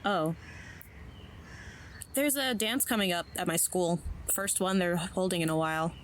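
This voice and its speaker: Audio drama role: woman as an awkward teen girl, lowish voice, dry sarcasm, faint noise behind. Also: animated, a little slow. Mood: wry, deadpan. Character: wry, awkward schoolgirl, teenage. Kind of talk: naturalistic audio drama acting.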